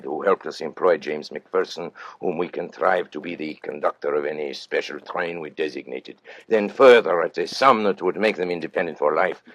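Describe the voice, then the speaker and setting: Calculating villain-narrator for vintage radio suspense: very deep, rough-edged husky voice, deliberate and menacingly formal delivery. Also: male voice, a bit bright, dry recording, dull audio. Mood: measured, calculating. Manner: deliberate, theatrical, formal storytelling. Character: cold, calculating male criminal mastermind confessing his crime. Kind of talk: old-time radio suspense drama narration.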